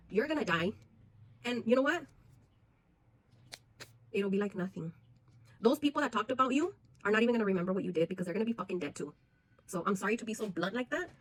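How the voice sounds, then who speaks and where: A woman's voice: mid-range voice, breathy edge, poor audio. A woman, talking frankly and bluntly to her viewers in a personal vlog, swearing freely. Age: 40s. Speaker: vlogger.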